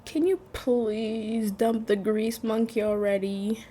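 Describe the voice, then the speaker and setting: A woman's voice: a little high, flat delivery, slow, warm tone. A woman playing a spoiled, catty young rival who condescends cutely, in an audio drama with background noise. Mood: catty, condescending.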